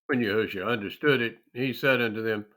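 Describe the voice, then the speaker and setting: A man giving a solemn, deliberate reading of scripture, with dramatic emphasis on the phrases. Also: on the low side, a bit bright. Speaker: scripture reader.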